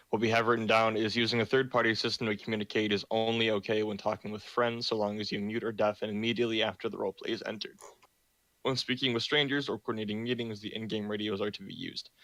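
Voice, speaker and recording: A man's voice: lowish voice, a bit bright, no room sound, low-quality recording. A young man hosting a roleplay community meeting over voice chat, reading the rules in a plain, self-described boring voice with many ums.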